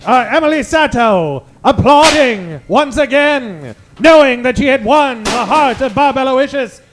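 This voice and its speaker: A man's voice: very high voice, expressive, measured pace, a bit bright, a little hiss or hum. A man narrating a comic old-time radio serial in a theatrical manner.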